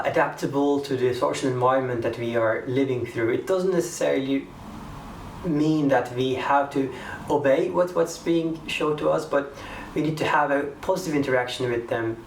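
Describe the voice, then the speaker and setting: A man answering an interview question thoughtfully and hesitantly, repeating words as he searches for them. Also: mid-range voice. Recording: roomy sound, distant mic, faint ambient noise.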